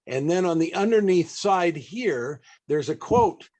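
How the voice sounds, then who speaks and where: A man with a fairly high voice hosts an online panel over a video call. He speaks off the cuff and haltingly, with courteous, formal appreciation for his guests. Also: lively intonation, darkish tone. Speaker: panel host and interviewer. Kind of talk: hosting an online interview, off the cuff. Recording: video call with occasional breakup.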